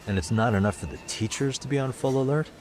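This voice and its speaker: An actor playing a cool, level-headed private detective in a video game, asking direct questions in a casual way. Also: male voice, mid-range voice, fast, a little mellow, a little hiss or hum, close to an everyday voice. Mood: probing. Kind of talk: video game cutscene acting.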